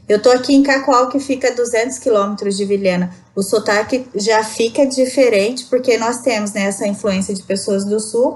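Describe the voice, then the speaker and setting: A woman from Rondônia, Brazil, talking as a podcast guest, explaining at length with frequent tag questions. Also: on the high side. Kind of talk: casual conversation.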